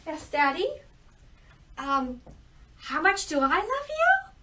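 A woman voicing a flighty middle princess who talks in a slow, dreamy way, recorded from a distance. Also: extremely high pitch, huge pitch swings, heavy background noise, voice pushed up, full character voice. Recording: distant mic.

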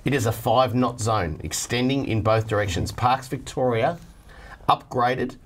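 A man's voice: medium pitch, measured pace. Australian man reading mail on air. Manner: reads letters aloud, chatty and joking. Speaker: host reading viewer mail. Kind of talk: casual studio chat. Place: TV studio set at a desk.

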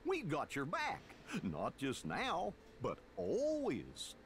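An actor playing a good-hearted, goofy cartoon dog in a video game, folksy and earnest. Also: male voice, fairly high pitch, wildly swooping pitch, takes it slowly, slight background noise, full character voice. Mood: loyal, encouraging.

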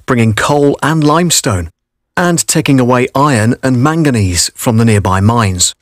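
Measured British male narrator, very dry. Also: mid-range voice, lively intonation, no echo. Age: middle-aged. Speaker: voice-over artist. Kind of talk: scripted documentary narration for a promotional video. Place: vocal booth. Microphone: studio condenser microphone.

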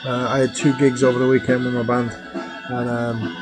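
A man in his thirties, a little hoarse, talks casually into a webcam in a small room at home. He rambles and fills his pauses with ums and uhs. Music plays clearly behind him the whole time. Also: mid-range voice, flat delivery. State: slightly hoarse. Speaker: vinyl collector vlogger. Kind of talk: casual chat. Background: music playing.

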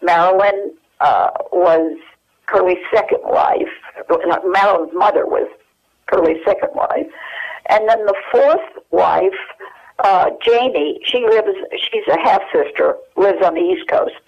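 Older woman reminiscing over a muffled phone line. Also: medium pitch. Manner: rambling, halting with many fillers. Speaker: guest. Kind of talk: telephone interview. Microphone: telephone.

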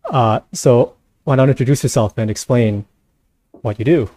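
A man's voice: medium pitch, moderate pace, warm tone, no room sound. A man hosting a casual live panel show, sounding somewhat tired. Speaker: host.

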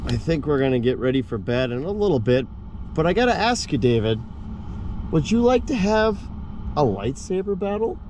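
A man's voice: on the high side, sing-song, moderate pace, a little mellow. A man hosting a casual camping vlog, chatting informally and using slang, recorded in and around a parked car. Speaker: vlog host. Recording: clear background car noise.

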